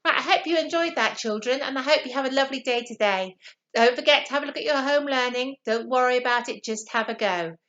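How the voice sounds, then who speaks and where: A woman teacher reading a story to children in an expressive, encouraging way. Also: high voice, quick pace, highs cut off. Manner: encouraging, animated.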